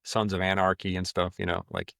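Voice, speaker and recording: A man hosting a casual podcast, talking in a relaxed, rambling way and swearing now and then. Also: low voice, fairly quick, creaky, dry recording, studio quality. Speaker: podcast host. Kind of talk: casual chat.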